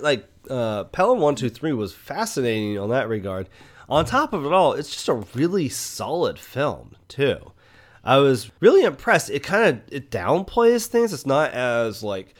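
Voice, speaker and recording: Casual man chatting about films. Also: medium pitch. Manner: conversational, enthusiastic, punctuated with "right?" and "you know". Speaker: movie podcast host. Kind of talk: casual film review chat.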